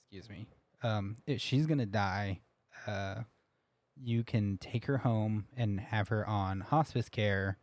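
Low-voiced rambling young man. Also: slow, a little mellow, no echo. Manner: rambling, laughing, many restarts. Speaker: podcast co-host. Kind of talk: casual storytelling chat.

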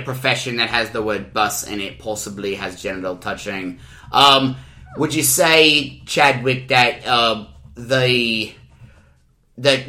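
A man's voice: mid-range voice, pushed and bright. A man playing a bumbling, pompous talk-show host in an improv comedy bit, rambling and hesitating with lots of ums.

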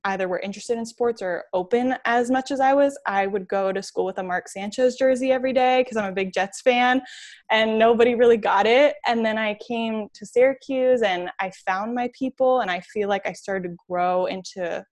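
A woman's voice: fairly high pitch, normal speed, a little mellow. A woman in her twenties from New York, answering interview questions in a chatty, enthusiastic way as a podcast guest. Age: 20s.

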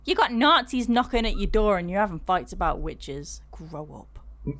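A woman's voice: mid-range voice, sing-song, moderate pace, fairly bright tone. A woman co-hosting a casual podcast chat, dropping dry, sarcastic quips. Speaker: podcast co-host.

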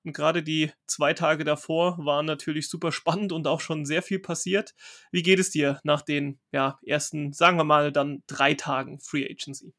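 A young man hosting a casual podcast, talking in a relaxed way and thinking aloud with frequent fillers. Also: fairly high pitch, normal speed. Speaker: podcast host.

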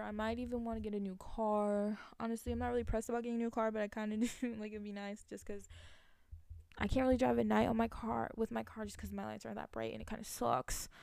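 A woman's voice: fairly high pitch, gravelly, a little mellow, clear, resonant tone, dry recording, clean studio sound. A woman in her late teens chatting casually to viewers in a rambling, giggly, slangy way, thinking aloud as she goes. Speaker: vlogger, college student. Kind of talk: casual solo chat to viewers.

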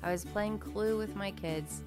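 A woman who grew up speaking Greek, co-hosting a casual podcast chat, animated and self-deprecating, often laughing. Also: medium pitch, unhurried, music in the background. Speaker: podcast co-host.

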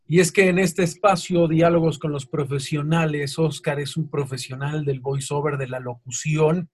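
A man with a Mexican accent hosts an interview in a notably high-pitched voice. He puts questions to the guest in a conversational way. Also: fairly even intonation, normal speed, breathy edge. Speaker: interview host.

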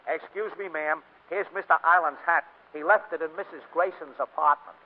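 Courteous building attendant, deferential, higher-set voice, long reverb, old-time radio comedy sound. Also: male voice, moderate pace, narrow, tinny audio. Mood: polite. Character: polite, deferential male building attendant delivering messages. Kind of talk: 1940s radio comedy acting.

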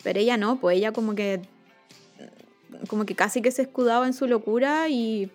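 Female co-host, Chilean accent, high pitch, tentative conversational delivery over music. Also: a little fast, a little mellow. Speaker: co-host of a talk show. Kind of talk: casual chat.

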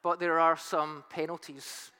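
Slow-talking pastor man with strained voice. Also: on the high side, flat delivery, sharp tone, clean tone. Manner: chatty, self-mocking humor. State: strained, nearly lost voice. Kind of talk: sermon. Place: church hall. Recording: long hall reverb, faint music.

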